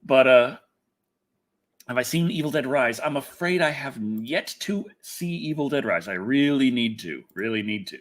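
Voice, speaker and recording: A man's voice: medium pitch, expressive, moderate pace. Rambling man hosting a livestream. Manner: rambling, repeats phrases for emphasis. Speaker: internet personality, livestream host. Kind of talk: casual livestream chat, answering viewers.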